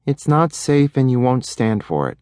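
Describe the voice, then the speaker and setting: A man's voice: medium pitch, big pitch swings, normal speed, a little mellow, no echo, studio quality, voice pushed up, close to an everyday voice. A man voicing a restless six-year-old boy who loves speed and pleads sulkily. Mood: pleading. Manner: sulky, pleading.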